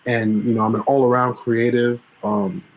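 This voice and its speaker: Cameroon-born guy chatting as an interview guest, mid pitch, lots of uh and um. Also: little pitch movement, moderate pace, rough recording. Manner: easygoing, hesitant with fillers. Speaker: guest filmmaker. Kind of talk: casual interview.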